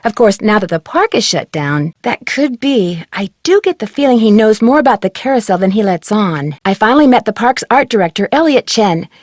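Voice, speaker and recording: A woman's voice: mid-range voice, sing-song, brisk, hardly any pauses, a little mellow, no echo, clean studio sound. A woman playing an earnest, inquisitive teenage girl detective in a video game, chatting in articulate, conversational lines. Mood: friendly, chatty.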